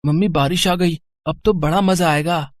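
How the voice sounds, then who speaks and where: A woman voicing a young schoolchild in a cartoon story, eager and pleading, with an excitable manner. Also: lowish voice, moderate pace, close to an everyday voice. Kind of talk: Hindi cartoon moral story acting.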